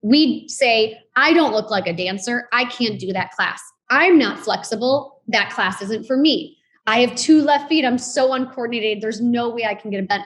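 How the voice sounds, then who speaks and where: A woman of forty with a fairly high voice speaks as an interviewed fitness instructor. She is informal and conversational, stressing her points in an encouraging way. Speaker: podcast guest, fitness founder and instructor.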